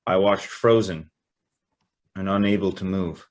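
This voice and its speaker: A low-voiced, anxious young hero. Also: male voice, fairly even intonation, measured pace, a little hiss or hum. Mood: tense narration. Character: bewildered, anxious young man, earnest hero-narrator. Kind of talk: old-time radio drama acting and first-person narration.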